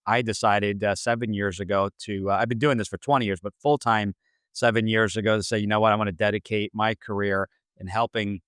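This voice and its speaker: A man in his forties from New Jersey, a franchise consultant answering interview questions with many pauses and restarts. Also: on the low side, fairly even intonation, a bit bright, clear, resonant tone, no echo, studio quality. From Central New Jersey. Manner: hesitant, many fillers and restarts.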